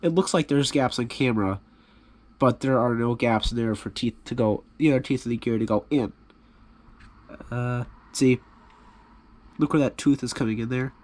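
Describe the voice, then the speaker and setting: A man with a stuffy voice from a cold, casually talking through a repair on his hobby channel in a relaxed, rambling, self-deprecating way. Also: medium pitch, normal speed, pauses often.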